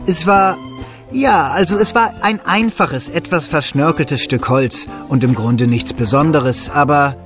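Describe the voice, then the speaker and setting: Actor as a friendly builder narrating over music. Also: male voice, fairly high pitch, unhurried, lightly acted. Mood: storytelling. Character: friendly builder narrating his day for children. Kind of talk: children's audio drama narration. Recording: music behind the voice.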